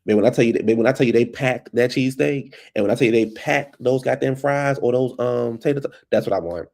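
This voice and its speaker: A man's voice: mid-range voice, fast talker, creaky, darkish tone. A man with a stuffed-up nose and a fading voice, chatting casually and rambling as a vlog host at his computer. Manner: rambling, loose and chatty, calls listeners baby. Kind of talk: casual solo chat. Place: at home at a computer.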